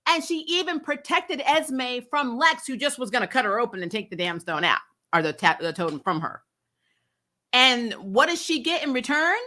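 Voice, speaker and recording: Blunt, bright-voiced woman hosting a recap. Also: sits high, lively intonation, moderate pace. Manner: blunt, emphatic, freely swearing. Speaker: host of a TV recap show. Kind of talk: opinionated casual chat reviewing TV episodes.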